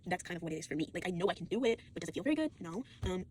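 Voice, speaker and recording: Teen girl vlogger, worn out and sore, races through a rambling monologue, slightly low voice, faint room hiss. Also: sing-song, darkish tone. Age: late teens. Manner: fast, rambling, full of fillers and restarts, swears often. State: fatigued and sore.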